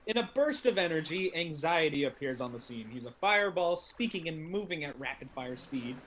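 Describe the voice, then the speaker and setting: A man's voice: fairly high pitch, lively intonation, a little fast, fairly bright tone, low-quality recording. A young adult man reading stage directions as scripted narration for a play.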